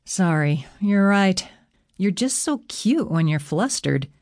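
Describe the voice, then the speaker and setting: Woman doing a laid-back god boyfriend for a storybook, teasing and reassuring, lively up n down pitch. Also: slow, full character voice. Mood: apologetic.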